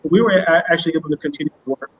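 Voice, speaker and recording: A man's voice: fairly high pitch, rapid-fire, gravelly. A man answering interview questions as a company guest, speaking conversationally and emphatically, often repeating words. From United States. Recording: muffled online call with background noise.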